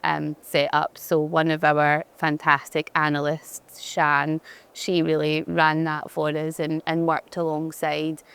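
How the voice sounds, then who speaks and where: Glaswegian woman with a low, smooth voice. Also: monotone, slow pace, fairly bright tone. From Glasgow, Scotland. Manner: chatty, frequent restarts and fillers. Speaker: head of digital experience, conference guest. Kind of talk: fireside chat on stage.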